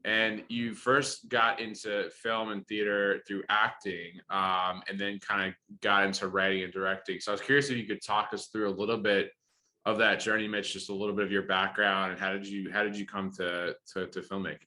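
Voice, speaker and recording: A man's voice: lowish voice, little pitch movement, moderate pace, pushed and bright. A man hosting an interview podcast, talking with enthusiasm and many fillers and restarts over a remote call. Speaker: podcast host.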